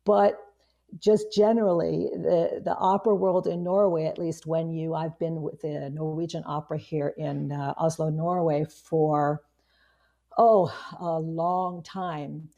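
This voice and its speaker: A woman in her fifties with a hoarse voice, a guest chatting casually in an interview, rambling with many restarts. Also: deep voice, steady tone, measured pace, dark tone, muffled recording. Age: 50s. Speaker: guest, online marketing strategist.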